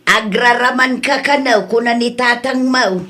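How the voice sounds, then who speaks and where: A woman's voice: on the high side, measured pace, sharp tone. A woman playing a plain-spoken, reproving village woman in a comedy audio drama, with a Filipino accent and a chiding manner. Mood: reproachful.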